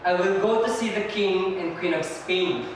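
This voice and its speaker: A man's voice: high-pitched, moderate pace, close to an everyday voice. A male student performer playing a determined sailor dreaming of riches in a readers' theater recital. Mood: resolute. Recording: echoey hall from far off, noisy.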